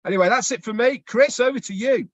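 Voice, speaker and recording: A man's voice: extremely high pitch, fast talker, dry recording. Emphatic man with a dull, dry sound. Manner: emphatic, answers own rhetorical questions. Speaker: presenter. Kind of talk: promotional webinar talk.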